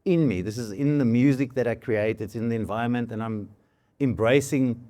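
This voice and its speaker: Man, South African accent, Afrikaans first language, mid-pitched, reflective, halting. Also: dark tone. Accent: South African English. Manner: thoughtful, halting, many restarts. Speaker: musician and panel guest. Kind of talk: reflective conversation.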